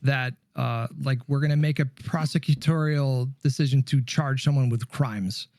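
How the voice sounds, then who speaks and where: A man in a conversational political debate, explaining his position in hesitant, halting phrases. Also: medium pitch, steady tone, darkish tone, dry recording, studio quality.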